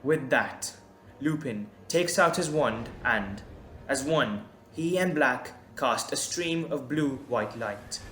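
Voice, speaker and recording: A man's voice: mid-range voice, lively intonation, takes it slowly, fairly bright tone, slight background noise. A man narrating a scripted audio drama in a storytelling style. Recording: roomy echo, background music.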